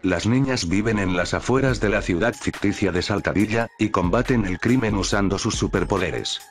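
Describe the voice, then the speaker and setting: A man's voice: on the low side, moderate pace. A man reading scripted narration as the narrator of a countdown video. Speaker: narrator of a cartoon top-list video.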